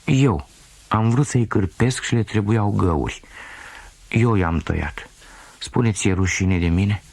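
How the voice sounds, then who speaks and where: A man's voice: fairly low pitch, creaky, a little mellow, no echo, pitched above the performer's own voice. A man voicing a little girl in a children's story, innocent and eager to explain herself. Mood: earnest confessing.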